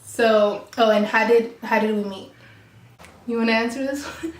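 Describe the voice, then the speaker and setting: A woman's voice: on the high side, steady tone, moderate pace. A woman in her early twenties from Mexico, co-hosting a casual Q&A vlog while sick, chatty and giggly. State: sick, voice affected. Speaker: vlog co-host. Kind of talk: casual Q&A chat. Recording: echoey room, far from the mic.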